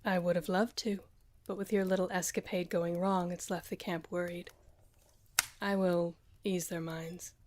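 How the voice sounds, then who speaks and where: A woman playing a loyal, commanding senior general in a fantasy audio roleplay, speaking with firm, measured authority. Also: fairly low pitch, flat delivery, noisy background, lightly acted. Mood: wry, fond.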